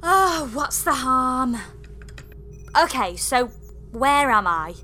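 A woman's voice: high voice, very animated, takes it slowly, pushed and bright, faint music behind, exaggerated voice. A woman playing a lonely, doubtful space engineer in a comedy sci-fi audio drama, polite and plaintive. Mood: uneasy.

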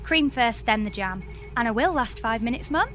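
A woman's voice: on the high side, expressive, normal speed, fairly bright tone, faint music behind. A woman playing a young space engineer with a British accent, earnest and exasperated, in a scripted comedy audio drama. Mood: insistent.

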